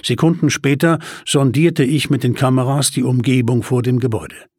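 A man narrating a first-person crime story as an audiobook, measured and tense with dry humor. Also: mid-range voice, fairly even intonation, darkish tone, husky, no room sound.

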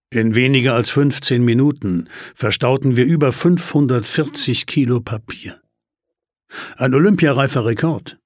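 Guy reading a heist thriller, husky voice, dry close mic, tense and measured. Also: mid-range voice, dry recording. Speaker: audiobook narrator. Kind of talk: first-person audiobook narration.

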